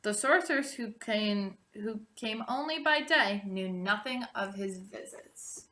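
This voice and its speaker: A woman narrating a storybook aloud, expressively and deliberately, drawing out the ends of phrases. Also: medium pitch, bright, forceful tone. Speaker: storybook reader.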